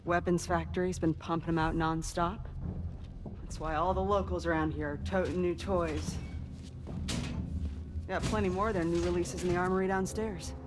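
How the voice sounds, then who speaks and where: A woman's voice: on the low side, fairly even intonation, normal speed, background noise, lightly acted. A woman acting a tough, defiant resistance fighter with a blunt, colloquial manner in a video game. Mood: matter-of-fact. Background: background music.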